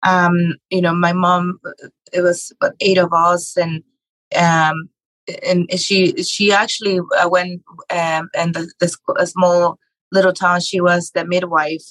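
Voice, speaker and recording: Brief: middle-aged woman from Mexico, lowish even voice, hesitant and halting, dry close sound. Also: no room sound, poor audio. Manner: hesitant, many fillers and restarts. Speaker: podcast guest, real estate investor and author. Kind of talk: conversational interview.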